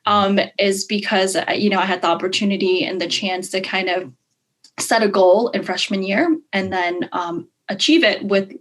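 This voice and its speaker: A woman's voice: medium pitch, steady tone, moderate pace. A woman in her thirties from Hong Kong, a guest telling her story in casual chat over a video call, bubbly, laughing often and using many fillers. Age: 30s. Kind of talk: casual interview.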